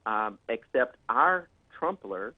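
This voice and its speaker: A man's voice: mid-range voice, sing-song, takes it slowly, no room sound. Man talking over a tinny phone line. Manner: hesitant, many fillers and restarts. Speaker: guest on a political talk show. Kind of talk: casual promotional talk.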